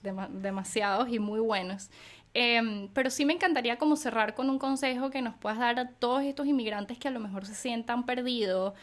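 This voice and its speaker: Young woman host, higher chatty voice. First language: Spanish. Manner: warm, affirming listener. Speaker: podcast host. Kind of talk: casual interview chat.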